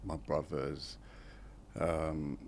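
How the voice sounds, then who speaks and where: A man in his forties, born in Syria and raised in England, telling his life story as a podcast guest in an unhurried, reflective way. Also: very deep voice, level tone, darkish tone, a little hiss or hum. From born in Syria to Iraqi parents, raised in England. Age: 40s. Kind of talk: casual interview chat.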